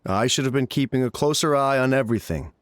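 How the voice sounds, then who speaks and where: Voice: mid-range voice, fast, dry recording, clean studio sound, voice pushed down, natural voice. A performer voicing a stern male game sheriff, authoritative and earnest. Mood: grateful, apologetic. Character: stern male village sheriff, scolding yet grateful.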